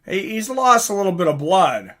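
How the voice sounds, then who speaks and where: Male live fight commentator, high bright voice, informal and excitable, chatty streamer delivery. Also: normal speed. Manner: excitable, informal, swearing, reacting aloud. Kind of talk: live fight commentary mixed with casual chat to viewers.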